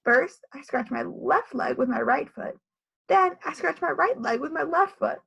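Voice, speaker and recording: A woman's voice: sits high, expressive, measured pace, gravelly, a bit bright, dull audio, heavily acted. A woman voicing a matter-of-fact, breezy school child in a storybook.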